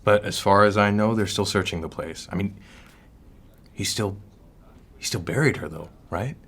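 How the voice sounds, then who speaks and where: A police colleague in a crime audio drama. His voice is deep, and his delivery is matter-of-fact with a slight hesitance. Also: moderate pace, fairly bright tone, husky. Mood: apologetic, matter-of-fact. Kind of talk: audio fiction acting.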